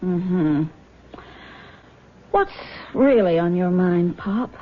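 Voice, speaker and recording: An actress playing a sensible, concerned grown daughter in a radio drama, gentle and questioning. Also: female voice, on the low side, lively intonation, drawn-out words, exaggerated voice. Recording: muffled band-limited old radio recording.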